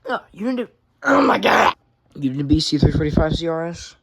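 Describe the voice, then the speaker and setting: A man's voice: fairly high pitch, very animated, normal speed, vocal fry. A teenage boy casually showing his weather radio collection at home, rambling and often correcting himself. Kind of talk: casual show-and-tell.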